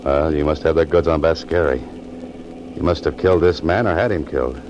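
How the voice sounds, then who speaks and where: An actor playing a wry, tough-talking sleuth in a 1940s radio drama, clipped and sardonic, on a muffled old recording. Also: male voice, rumbling low, brisk, noisy background. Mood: wry, firm. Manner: clipped, sardonic, hard-boiled. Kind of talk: 1940s radio detective acting and narration.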